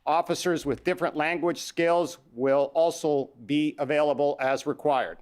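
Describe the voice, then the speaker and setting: A man with a Canadian accent, a police chief giving a formal statement at a press conference, measured and deliberate. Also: a little high. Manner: measured, reads numbers out slowly.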